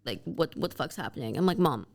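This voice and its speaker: A woman's voice: extremely low pitch, big pitch swings, fast talker, gravelly, darkish tone. A woman in her twenties co-hosting a podcast, chatting casually and swearing freely, with lots of "like" fillers. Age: 20s. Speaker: podcast co-host. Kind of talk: casual chat.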